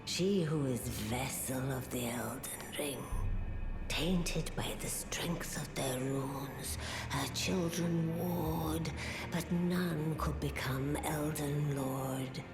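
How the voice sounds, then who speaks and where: An actress playing an ancient, solemn old female oracle in a fantasy game, with a breathy, aged voice and a reverent, portentous way of speaking. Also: deep voice, echoey room, over music. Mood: solemn, knowing. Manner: slow, reverent, portentous, archaic phrasing. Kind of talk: scripted fantasy game dialogue.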